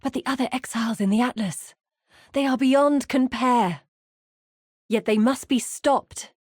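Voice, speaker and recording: Grave, close-recorded fantasy game heroine. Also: female voice, on the high side, a little mellow, slightly rough, dry recording. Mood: urgent, serious. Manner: measured, earnest, dramatic delivery. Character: Zana, grave adult woman guide to exiles, haunted yet wry. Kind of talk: scripted fantasy game voice acting.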